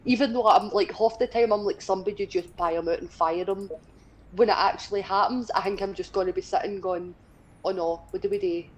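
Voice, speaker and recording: A woman's voice: medium pitch, fairly even intonation, fairly quick, rough recording. A woman in her twenties with a Scottish accent, chatting casually and bluntly as a stream co-host, swearing freely. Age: 20s. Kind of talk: casual chat during a gaming stream.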